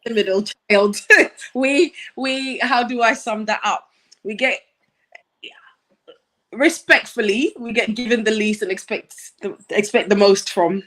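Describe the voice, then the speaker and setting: A woman in her thirties from Nigeria, a guest in a casual chat, thoughtful and quick to laugh. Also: a little high, fairly bright tone. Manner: laughs often, thoughtful, some ums.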